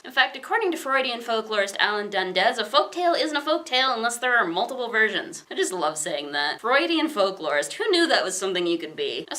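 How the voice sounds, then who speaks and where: A woman's voice: medium pitch, bright, forceful tone. A woman hosting an educational explainer in a chatty, playfully wry way, reading scripted material with casual asides. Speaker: host of an educational video series.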